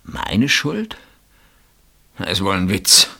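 An actor playing a bitter, suspicious husband in a German radio play, probing and accusatory in manner. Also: male voice, deep voice, sing-song, takes it slowly, creaky, pushed and bright, husky, heavily acted. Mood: bitter, defensive. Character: bitter, suspicious husband interrogating his wife's sister.

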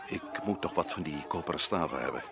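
An actor playing a shrewd, commanding male expedition leader in a radio play, who often lowers his voice to a conspiratorial hush. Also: fairly quick, vocal fry, noisy background. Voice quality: low voice, drops to hushed whispers. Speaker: adventurer in Africa.

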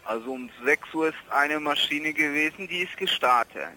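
Casting: polite male customer service agent, slightly high, sharp bright voice, hesitant delivery. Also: moderate pace, slight background noise, poor audio. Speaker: airline phone agent.

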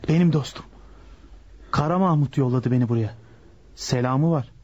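An actor playing a firm, insistent gang lawyer in a radio drama, speaking articulately. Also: male voice, mid-range voice, normal speed, darkish tone, natural voice. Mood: quiet, probing. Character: assertive male lawyer working for a gang boss. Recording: dull lo-fi radio recording.

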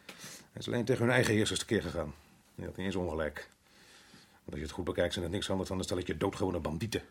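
An actor playing a harried, indignant middle-aged colonial resident in a radio drama, exasperated and sarcastic. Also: male voice, low-pitched, fast talker, gravelly, a little hiss or hum. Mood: indignant, bitter.

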